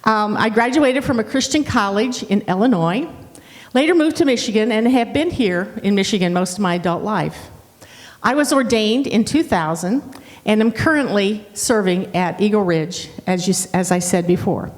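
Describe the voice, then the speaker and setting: An older woman from Ohio with a slight Southern twang and a strong, loud voice, speaking as a guest associate pastor in a chatty way. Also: mid-range voice, lively intonation, normal speed. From Ohio, USA. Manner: chatty and warm, with restarts and laughs. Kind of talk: guest church talk. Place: church. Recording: long reverb of a church hall.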